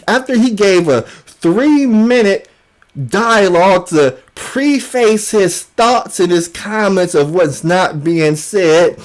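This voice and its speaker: A man delivers an informal commentary monologue as the host of an online show. His pace is slow and drawn out, with emphatic, mocking delivery and phrases he likes to repeat. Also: high-pitched.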